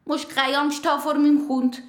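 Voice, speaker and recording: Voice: high voice, level tone, moderate pace, fairly bright tone, roomy sound. A performer playing an eager, cheeky young boy with a Swiss accent in a children's radio play. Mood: curious, chatty.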